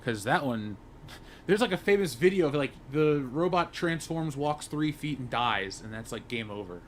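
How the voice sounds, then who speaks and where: A man's voice: a little high, animated, moderate pace. A man chatting casually as a member of a discussion panel. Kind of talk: casual chat. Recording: faint background noise.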